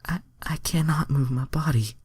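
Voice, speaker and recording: A man voicing an adoring young female alien heroine in a breathy, gushing manner, for fan fiction. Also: fairly high pitch, moderate pace, darkish tone, no room sound, clean studio sound, voice pushed up. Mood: tender, spent.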